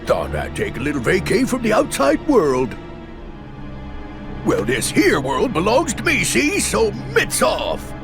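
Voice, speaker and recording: A man voicing a gruff, oafish villain in an animated game, a sneering brute with slangy, drawling tough-guy talk and a gravelly voice. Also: high-pitched, unhurried, music in the background, heavily acted. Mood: smug, menacing. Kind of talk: animated game character acting.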